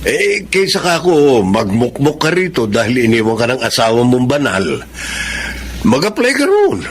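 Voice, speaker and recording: A man's voice: mid-range voice, big pitch swings, moderate pace, slight background noise. A man playing a helpful, teasing, persuasive friend in a radio drama.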